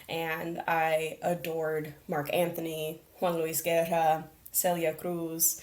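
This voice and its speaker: A woman's voice: fairly low pitch, a little mellow. A young woman, a programs manager, talking earnestly and reflectively in an interview conversation, laughing now and then.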